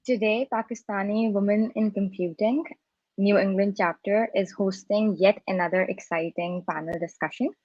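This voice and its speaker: A woman's voice: mid-range voice, fairly even intonation, moderate pace, a little mellow, no echo. A young woman in her twenties hosting a panel interview on a video call, friendly, with some "um" and "like". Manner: friendly, scripted introductions, uses "um" and "like". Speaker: host, panel moderator. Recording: dry muffled video call audio.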